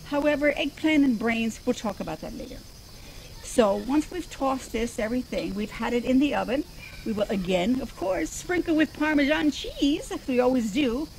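Older lady doing a chirpy zombie grandma cook, highish voice, crickets faint behind her in the yard. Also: lively intonation, moderate pace, creaky, noisy background. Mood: chatty. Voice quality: prim, chatty hostess manner with digressions. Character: chatty elderly zombie woman cook, genteel and cheerful. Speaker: zombie cooking show host. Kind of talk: improvised comedy sketch. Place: outdoors in a backyard.

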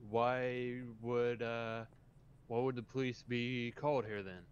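Man playing a polite, clear-voiced police officer. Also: mid-range voice, level tone, dull audio, close to an everyday voice. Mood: measured, questioning. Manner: hesitant, formal, measured. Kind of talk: improvised game roleplay.

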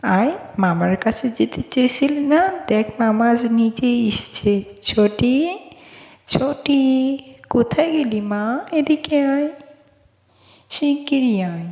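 Woman playing slow-talking cartoon mother, echoing. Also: on the high side, lively intonation, darkish tone. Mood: calling out. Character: fussing, affectionate, cautious village mother. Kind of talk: amateur cartoon dubbing. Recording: echoey, long reverb.

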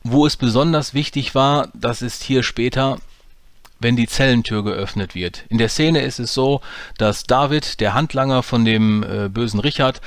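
A man's voice: mid-range voice, normal speed, no echo. Casting: man, dry close voice, casual tutorial explainer with fillers. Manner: relaxed, explanatory, many fillers. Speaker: audio drama producer teaching editing. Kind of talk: screen-recorded software tutorial.

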